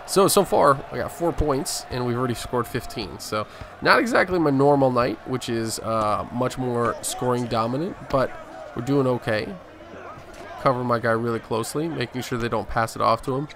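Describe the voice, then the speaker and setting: A man's voice: mid-range voice, moderate pace. A man hosting a gaming video, giving casual, conversational play-by-play of a basketball game. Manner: conversational play-by-play in present tense. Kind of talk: casual gameplay commentary. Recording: clear game noise behind voice. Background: basketball game sounds.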